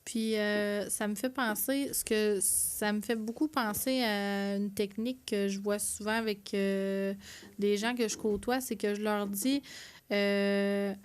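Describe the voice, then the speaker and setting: A woman's voice: mid-range voice, steady tone, measured pace, clean tone. Informal, hesitant woman with Quebec French accent. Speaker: podcast guest, community support worker. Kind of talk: casual explanatory chat.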